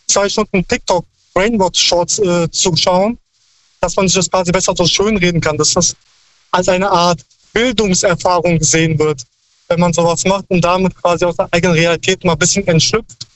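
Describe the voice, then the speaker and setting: A man's voice: high voice, a bit bright, dry recording, low-quality recording. A man calling in to a radio show over the phone, earnest and wordy, making his points in long lecturing sentences. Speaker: caller. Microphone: phone line.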